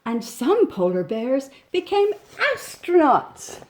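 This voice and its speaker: Female storybook reader, animated and varied in pitch, slow pace, gentle asides and questions for small children. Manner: gentle, animated, pointing out pictures with questions to the listener. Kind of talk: expressive picture-book reading for young children, with chatty asides.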